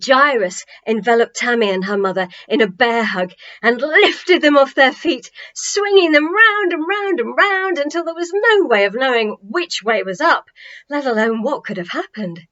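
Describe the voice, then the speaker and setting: A high-pitched woman narrates a children's storybook with dramatic emphasis and deliberate pauses. The recording is a little dull and lo-fi, like a home setup. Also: expressive, bright, forceful tone. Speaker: author-narrator reading a children's novel.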